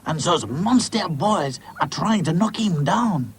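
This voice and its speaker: A woman's voice: lowish voice, expressive, slow pace, husky, pitched above the performer's own voice, heavily acted. A woman voicing an indignant, fussy male rag doll in a children's story. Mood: worried.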